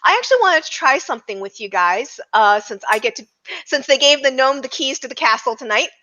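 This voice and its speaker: A woman with a fairly high, bright voice hosts a casual film roundtable. She is opinionated and emphatic, often repeating a phrase to drive it home. The audio is a little dull and lo-fi. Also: lively intonation, brisk. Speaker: podcast host leading a roundtable. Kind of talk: casual discussion, movie review.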